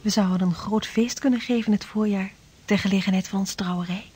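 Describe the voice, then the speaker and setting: An actress playing a devoted, yearning young bride-to-be of a seafarer in radio drama, with a breathy voice. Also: female voice, mid-range voice, steady tone, a little fast, loud music behind the voice, natural voice. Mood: dreamy, eager. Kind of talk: Dutch radio drama acting.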